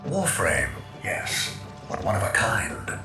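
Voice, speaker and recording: A man's voice: lowish voice, wildly swooping pitch, takes it slowly, exaggerated voice. A man playing a shrewd, wry underworld character briefing an operative in a video game. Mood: conspiratorial, wry. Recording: echoing voice over music.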